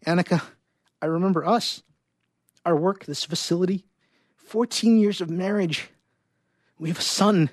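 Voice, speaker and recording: A man plays an earnest scientist husband in a dramatic story, grave yet tender and resolute. His voice is slightly high and husky, with a dry sound. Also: normal speed, pauses often, no echo. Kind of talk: dramatic short story reading.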